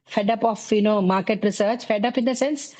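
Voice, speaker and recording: A middle-aged woman from South India with an Indian English accent, talking as an interview guest about her teaching work in a relaxed way, leaning on fillers like "you know, like". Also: medium pitch, fairly quick. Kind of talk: conversational interview.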